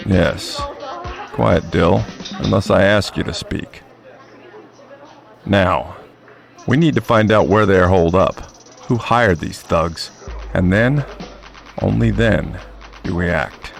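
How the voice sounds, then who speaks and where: A man's voice: low voice, slow, creaky, long pauses, soft background music, heavily acted. An actor playing a stern, menacing crime boss and father in a radio drama, commanding and foul-mouthed. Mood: cold, controlled. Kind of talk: horror mystery radio drama acting.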